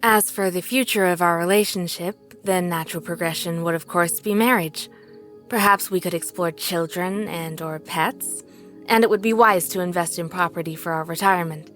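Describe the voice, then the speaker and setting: An actress in an audio drama playing a gentle, earnest, literal-minded woman with a formal, precise way of talking. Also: fairly low pitch, close to an everyday voice. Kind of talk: understated audio drama acting.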